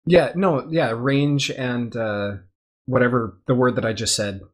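A man co-hosts a casual podcast and offers thoughtful points, now and then gently setting things straight. His voice is at an ordinary middle pitch. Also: steady tone, a little slow. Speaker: podcast co-host.

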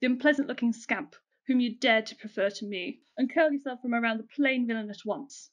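A woman's voice: a little high, a little fast, close to an everyday voice. A woman playing a pompous, hot-tempered, jealous male army major in a melodramatic radio-play farce. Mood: outraged.

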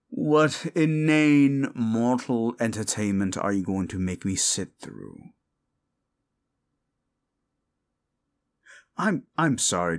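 A man playing a sardonic, hungry demon in an audio roleplay, talking to the listener in a condescending, theatrical, mocking way with muttered asides. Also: medium pitch. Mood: grudging, resigned.

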